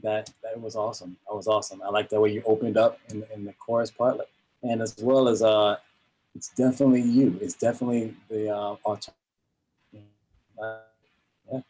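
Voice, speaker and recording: Husky man guest, low-pitched, upbeat and halting. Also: level tone, warm tone, rough recording. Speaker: industry guest. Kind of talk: casual feedback.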